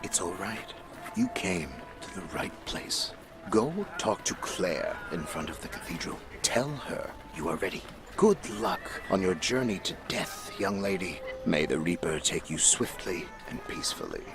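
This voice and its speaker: An actor playing a solemn, courtly older recruiter for a death cult in a video game, speaking formally and theatrically. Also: male voice, low voice, lively intonation, measured pace. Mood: gentle, solemn. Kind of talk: video game character acting. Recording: dramatic music behind.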